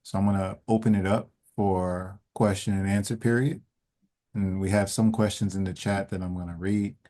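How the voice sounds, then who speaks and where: A man hosting a call, telling a story in a relaxed way before reading out questions from the chat. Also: sits low, normal speed. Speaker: host.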